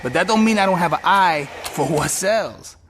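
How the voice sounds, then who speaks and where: A bitter male chop-shop boss from a crime game. His voice sits high, and he talks in prickly, abrasive street slang. There is faint ambience behind him. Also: lively intonation, moderate pace, background noise. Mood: bitter, businesslike. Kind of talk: video game cutscene acting.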